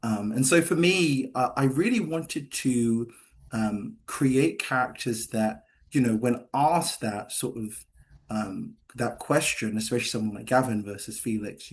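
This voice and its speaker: A man speaking as a screenwriter in a Q&A, halting and hedging as he explains, often repeating himself. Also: medium pitch. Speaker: screenwriter answering questions. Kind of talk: post-reading Q&A discussion.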